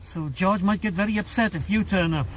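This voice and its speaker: An actor playing Yorkie, a jumpy, exasperated Welsh taxi driver in a 1980s radio drama. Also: male voice, high-pitched, natural voice. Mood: grumbling. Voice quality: jumpy, exasperated, quick to protest. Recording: radio drama with traffic noise.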